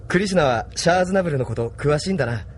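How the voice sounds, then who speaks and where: Man acting a gentle, wistful young leader. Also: fairly high pitch, animated, very fast, slight background noise, lightly acted. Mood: pensive. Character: thoughtful young man, unsure new resistance leader, homesick, gentle. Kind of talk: anime-style audio drama acting.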